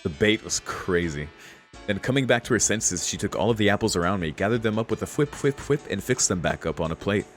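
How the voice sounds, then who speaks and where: Low-voiced man streaming with music behind. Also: animated, a little fast. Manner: exclamatory, slangy, swearing. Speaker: gaming streamer reading a visual novel aloud. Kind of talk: casual playthrough, reading aloud with off-the-cuff reactions.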